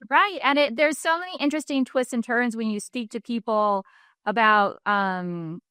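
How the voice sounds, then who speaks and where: A woman's voice: fairly high pitch, moderate pace, pushed and bright, clear, resonant tone, no room sound, clean studio sound. A woman hosting a podcast interview, asking curious, friendly follow-up questions in a casual way.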